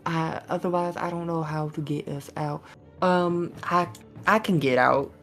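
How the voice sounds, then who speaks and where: Woman playing a Southern US adventurer, low voice, folksy, halting, unhurried, tabletop fantasy game. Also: fairly even intonation, a bit bright, faint music behind. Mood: worried, explaining. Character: Southern-drawling adventurer woman addressing companions as darlings.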